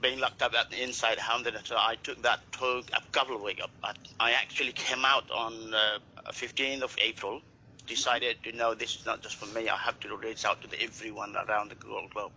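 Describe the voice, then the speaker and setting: Nepal-born man, network marketer, earnest and emphatic, bright edge, faint room noise. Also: medium pitch, level tone, normal speed, rough recording. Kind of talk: casual group chat.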